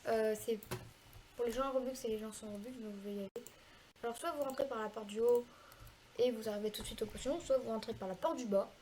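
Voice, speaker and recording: A congested woman with a cold talks through a video game, her voice sitting a bit high. She chats casually and hesitates often, filling pauses as she plays along. A faint hiss runs underneath. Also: fairly even intonation, mellow, rough recording. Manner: chatty, hesitant, frequent filler pauses. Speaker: gaming video creator. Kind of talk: casual gameplay tutorial commentary.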